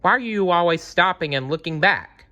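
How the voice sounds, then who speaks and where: A man's voice: sits high, animated, moderate pace, pushed and bright, voice pushed up. A man voicing a questioning, insistent father in a storybook read-aloud. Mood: puzzled, insistent. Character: father questioning his dawdling young son.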